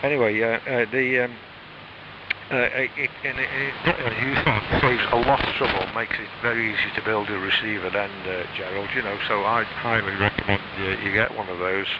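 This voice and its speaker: A man's voice: fairly low pitch, fairly even intonation. A British man chatting casually about technical matters over amateur radio, hesitant and rambling, with a frog in his throat. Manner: hesitant, rambling, frequent pauses. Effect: shortwave radio transmission. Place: home radio shack. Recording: muffled shortwave radio, loud static noise.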